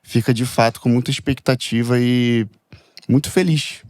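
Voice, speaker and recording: A young man from Rio de Janeiro with a Brazilian accent, a podcast guest talking in a reserved, soft-spoken way. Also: mid-range voice, steady tone, normal speed, no room sound, studio quality. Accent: Brazilian, Rio de Janeiro with Espírito Santo touches. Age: young adult. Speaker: guest, worship musician. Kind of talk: casual chat.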